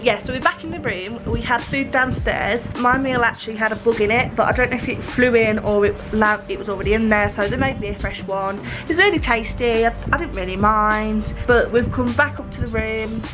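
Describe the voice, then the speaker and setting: A woman's voice: fairly high pitch, fairly quick, few pauses, music in the background. Chatty woman vlogging. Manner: chatty, excitable, slangy. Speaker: travel vlogger. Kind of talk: casual vlog chat. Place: hotel bedroom. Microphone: camera at arm's length.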